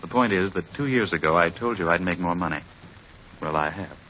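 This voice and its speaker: An actor playing an earnest, frustrated husband who longs for a family, insistent and dramatic in old-time radio style. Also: male voice, sits low, fairly quick, pushed and bright, a little hiss or hum. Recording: dull vintage radio recording with music.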